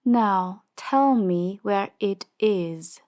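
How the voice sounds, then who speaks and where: A woman playing a commanding, power-hungry crime boss in an audio drama, measured and condescending, with polite menace. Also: mid-range voice, darkish tone. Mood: cold menace. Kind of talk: audio drama roleplay acting.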